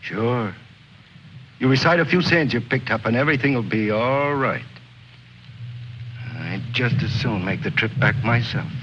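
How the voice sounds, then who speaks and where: A man's voice: mid-range voice, measured pace, pauses often, fairly bright tone, lightly acted. An actor playing a bitter, restless soldier in his thirties, brooding and sardonic, in an old film drama. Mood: bitter, driven. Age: 30s. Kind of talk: mid-century American film drama acting. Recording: muffled, hissy old film soundtrack.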